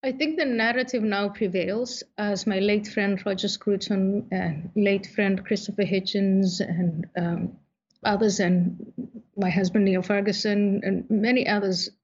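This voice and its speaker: A woman from Somalia speaks as an interview guest, measured and thoughtful, often pausing on small fillers. Her voice is husky, and the audio sounds dull and a little lo-fi. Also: medium pitch, darkish tone. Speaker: guest author. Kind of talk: interview answers.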